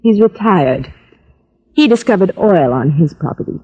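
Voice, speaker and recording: A woman's voice: on the low side, animated, moderate pace, dark tone, slightly rough, lo-fi sound. A woman acting a polite, worried wife of a rich man in an old radio drama, courteous and composed. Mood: worried, earnest. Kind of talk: 1940s-50s radio acting.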